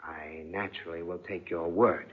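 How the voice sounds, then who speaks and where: Suave, menacing jealous lord, husky. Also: male voice, low-pitched, fairly even intonation. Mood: quiet menace. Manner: suave, mock-affectionate, deliberate. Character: menacing, coldly polite jealous aristocratic husband. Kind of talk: 1940s radio drama acting. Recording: dull, band-limited 1940s radio recording.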